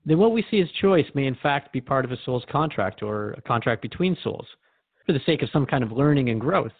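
A man's voice: mid-range voice, lively intonation, brisk, vocal fry, no echo, phone-quality sound. A man playing a thoughtful, inquiring radio host, conversational and reflective as he interviews in a radio drama. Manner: reflective, questioning.

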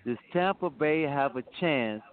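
A man's voice: a little high, expressive, unhurried. A man hosting a sports talk show, steering the talk with direct questions. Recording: dry phone-line audio.

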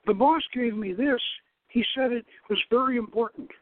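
Man putting on a high breathy flirty secretary. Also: moderate pace, dry recording, narrow, tinny audio. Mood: eager, anxious. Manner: breathy, purring, complaining.

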